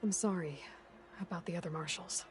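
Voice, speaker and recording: A woman's voice: lowish voice, brisk, a little mellow, soft background music, lightly acted. An actress playing a capable young female adventurer in a video game, direct and inquisitive with a dry manner. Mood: sympathetic. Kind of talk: naturalistic video game character acting.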